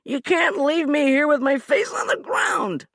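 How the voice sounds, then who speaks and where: A woman's voice: sits high, expressive, a little slow, gravelly, a bit bright, dry recording, clean studio sound, voice pushed up, full character voice. A woman narrator voicing a cowardly man who whines and yelps while pinned down in the snow. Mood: whining. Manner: whining, pleading, yelping.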